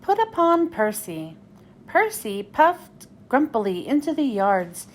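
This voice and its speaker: A woman reading a children's storybook aloud as narrator, in an expressive, deliberate way. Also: high-pitched. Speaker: children's book read-aloud host.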